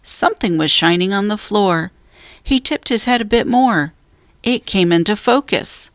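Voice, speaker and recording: A woman reading a children's story aloud as a narrator, in a measured, deliberate way with drawn-out emphasis. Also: medium pitch, animated, dry recording, clean studio sound. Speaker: storybook reader.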